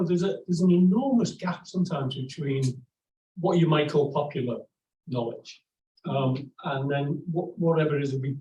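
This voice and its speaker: A man, asking a long question at an academic talk, halting with many restarts. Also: fairly high pitch, dark tone. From England. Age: 60s. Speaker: audience member asking a question. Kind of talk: academic discussion. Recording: echoey room from far off, faint noise.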